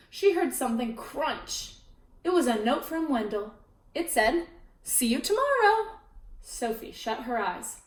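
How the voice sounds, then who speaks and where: Woman storyteller, picture book read-aloud, bright fairly high voice, echoey room, mic at a distance. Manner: expressive, with chatty asides to listeners. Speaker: children's storyteller. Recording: roomy echo, distant mic.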